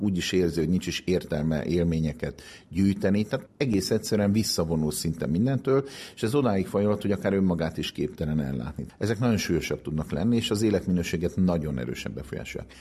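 A man with a very low, dark voice explains scientific work in an interview. His sound is husky and mellow, and he talks in long sentences, restating terms to make them clear. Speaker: pharmaceutical research director being interviewed.

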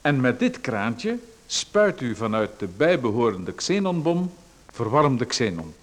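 Man playing a slick salesman, smooth persuasive lecturing, lively swooping pitch, radio play. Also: a little slow. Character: pushy male salesman of a dubious hair machine. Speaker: radio drama actor.

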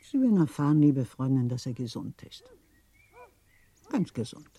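An actress playing a worldly, gossipy middle-aged widow of a Berlin official in a German radio play, theatrical, insinuating and chatty. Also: female voice, very deep voice, sing-song, normal speed, dark tone, husky, dry recording, exaggerated voice. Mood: dry, teasing. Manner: theatrical, insinuating, chatty, repeats words for emphasis.

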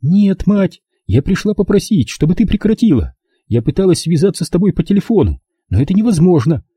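A man reads the part of a stubborn grown daughter in an audiobook. Her voice sits high, with a dark, muted tone. She argues curtly, defiant and defensive with a weary edge. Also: very animated, fairly quick, slightly rough, no echo, studio quality, heavily acted. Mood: defiant, annoyed. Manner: curt, argumentative. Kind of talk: audiobook character dialogue.

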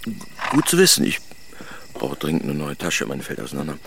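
A courteous, reserved middle-aged engineer in a radio drama. His deep voice crackles with fry and moves in lively swings, with faint noise behind. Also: a little fast, heavily acted. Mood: polite, reserved. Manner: reserved, brief courteous replies. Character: polite, awkward German engineer, father of a family. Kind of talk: radio play acting.

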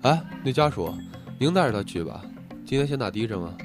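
A man's voice: mid-range voice, big pitch swings, quick pace, music in the background, lightly acted. A man playing a sarcastic, officious doctor in an audio drama, lecturing matter-of-factly with mock seriousness. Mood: deadpan spite. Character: sarcastic male doctor, glibly alarming, officious. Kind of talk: Chinese audio drama acting.

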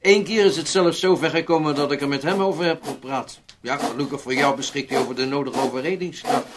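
Acteur als plagerige baas, ruisende opname. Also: male voice, fairly high pitch, fairly even intonation, brisk, lightly acted. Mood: amused teasing. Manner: wry, bantering. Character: amused, teasing employer. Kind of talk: Dutch radio play acting. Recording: very noisy old radio recording.

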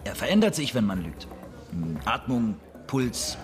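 An actor playing an inquisitive, polite forensic investigator from the city in a German radio drama. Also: male voice, mid-range voice, big pitch swings, measured pace. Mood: thoughtful. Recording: music behind the voice. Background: music.

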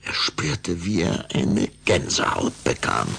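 Ein Mann mit rauer, heiserer Stimme erzählt als Erzähler eines Kinderhörspiels eine Vampirgeschichte, dramatisch und nach Skript. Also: male voice, low voice, wildly swooping pitch, slow pace, a bit bright, exaggerated voice. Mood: suspenseful narration. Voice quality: gravelly, husky. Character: storyteller of a children's vampire tale. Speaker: narrator. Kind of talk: scripted dramatic narration.

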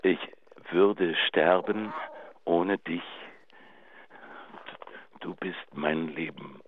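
An actor playing a betrayed, bitter husband leaving emotional, halting phone messages in a German radio drama. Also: male voice, sits low. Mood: wounded, wistful. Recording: dull answering-machine sound, faint noise.

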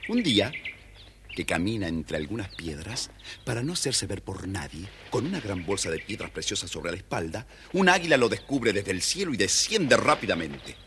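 A man's voice: on the low side, very animated, moderate pace, bright, forceful tone, slight background noise. A man with an Argentine accent, narrating a children's story dramatically as the storyteller.

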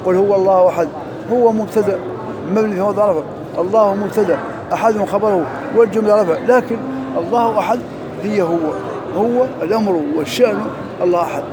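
A man teaching Arabic grammar in a mosque, drilling through examples quickly and reciting verses slowly. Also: high-pitched, crowd noise, low-quality recording. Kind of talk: lesson parsing grammar and verse.

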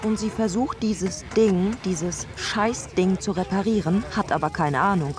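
A woman's voice: mid-range voice, unhurried, close to an everyday voice. A woman narrating a scripted radio drama in an emphatic way.

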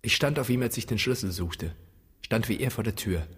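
Brooding, haunted son for literary radio drama; lowish, husky, introspective delivery. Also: male voice, animated, normal speed. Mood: haunted, reflective.

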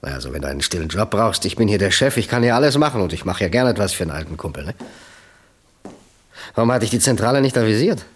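An actor playing a chummy, boastful small-time gangster boss in a radio crime drama, talkative and jovial. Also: male voice, lowish voice, big pitch swings, fast talker, slightly rough. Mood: falsely jovial.

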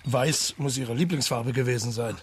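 An actor playing a courteous, plain-spoken police officer who probes quietly and matter-of-factly in a German radio play. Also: male voice, mid-range voice, normal speed, slight background noise, natural voice. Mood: politely probing. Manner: polite, reserved, matter-of-fact.

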